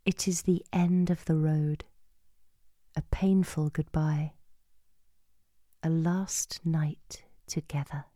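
A middle-aged woman reading a scripted podcast introduction in a measured way, hushed at phrase endings. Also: deep voice, steady tone, dry recording, clean studio sound. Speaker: podcast host and playwright.

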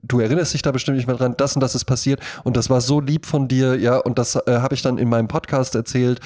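A man with a mid-range voice talks casually as a podcast co-host. He tells things like an animated storyteller, voicing bits of dialogue. The sound is dry, with no room echo. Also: mid-range voice, quick pace. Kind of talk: casual conversational podcast chat.

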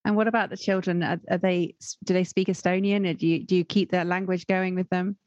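A woman's voice: on the low side, level tone, a little mellow, dry recording, lo-fi sound. Woman, podcast host, upbeat, encouraging, dry close sound. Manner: upbeat, encouraging, quick to praise. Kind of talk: podcast interview.

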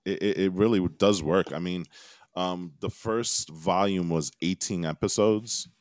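Super deep laid-back guy hosting a podcast remotely, dry sound, lots of ums. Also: fairly even intonation, a little slow, dry recording. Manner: laid-back, rambling with fillers. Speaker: podcast host. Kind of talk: casual chat.

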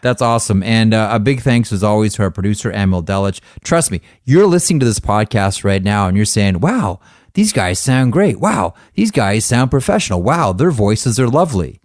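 A man's voice: on the low side, very animated, darkish tone, dry recording, studio quality. A man with a nasal voice, co-hosting a podcast, chatting upbeat and wrapping up the episode. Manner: upbeat, chatty, quick sign-off patter. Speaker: podcast co-host. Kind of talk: casual chat.

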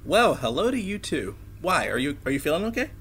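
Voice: medium pitch, very animated, brisk, a bit bright, a little hiss or hum. An actor playing a caring, wry parent of a teenager in an audio drama, talking casually. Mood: wry, concerned.

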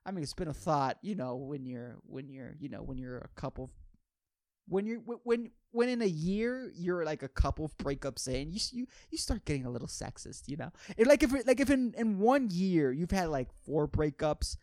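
A man's voice: fairly high pitch, big pitch swings, moderate pace, a little mellow. A man hosts a podcast. He delivers a loose comedic monologue, rambling in slang and swearing freely, circling back on phrases to press them home. The sound is dry, with very little room echo. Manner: rambling, slangy, profane, repeats phrases for emphasis. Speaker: podcast host.

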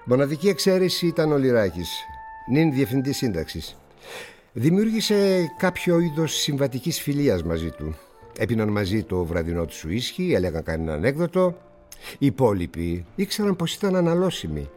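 An actor playing a worldly, condescending older newspaper publisher in a radio drama, speaking with musing pauses. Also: male voice, mid-range voice, sing-song, darkish tone, faint music behind, natural voice. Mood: wry, musing. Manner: theatrical, patronizing, with musing pauses.

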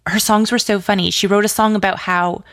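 A woman's voice: medium pitch, steady tone, a little fast, a bit bright, no echo, studio quality. A woman chatting casually as an interview guest, enthusiastic and gushing, peppering her sentences with "like". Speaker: interview guest, audio drama writer and producer.

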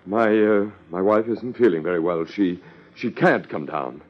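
An actor playing a stiff, evasive, easily affronted husband in a 1950s radio drama, speaking formally and hesitantly. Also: male voice, low-pitched, unhurried. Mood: guarded, flustered. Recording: muffled old radio recording.

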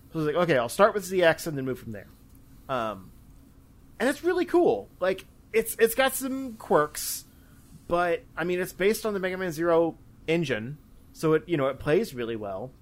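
A man chatting casually about video games as a podcast host, in a rambling, hesitant way. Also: a little high.

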